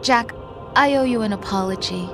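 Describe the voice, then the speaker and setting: A woman's voice: on the high side, moderate pace, lightly acted. An actress playing a tender, guilt-ridden young woman in a dramatic video game scene, earnest and hesitant. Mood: guilty, confessing. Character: young woman, tender, guilty spy confessing to her lover. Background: loud music.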